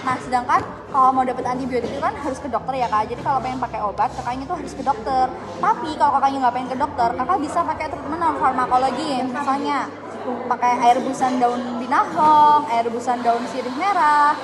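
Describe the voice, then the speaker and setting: High-voiced young woman student explaining. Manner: friendly, quick, explaining with fillers. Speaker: pharmacy student educator. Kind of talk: casual health outreach chat. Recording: phone video with crowd noise.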